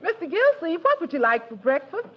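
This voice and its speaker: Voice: extremely high pitch, very animated, a little fast. An actor playing a chatty, good-humored household maid who fusses over breakfast in a 1940s radio comedy. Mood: cheerful. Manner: chatty, laughing, motherly. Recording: dull lo-fi 1940s radio broadcast.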